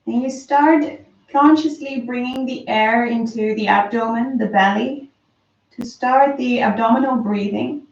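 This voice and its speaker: A woman slowly and softly guiding a breathing meditation, calm with long pauses. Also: on the high side. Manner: slow, soft, calm guidance with long pauses. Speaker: meditation teacher. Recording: roomy, distant, dull lo-fi sound.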